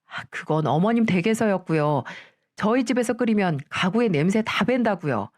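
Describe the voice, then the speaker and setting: A woman's voice: medium pitch, expressive, normal speed, darkish tone, close to an everyday voice. A woman voicing a cold, contemptuous daughter-in-law who talks back curtly and sharply in a story read aloud. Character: cold, scornful woman in her middle years, the daughter-in-law.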